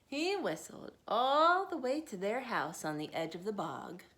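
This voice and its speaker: A woman in her thirties reading picture books aloud to children, expressive and sing-song, and a little tired. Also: a little high, measured pace, a little hiss or hum. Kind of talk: picture-book read-aloud.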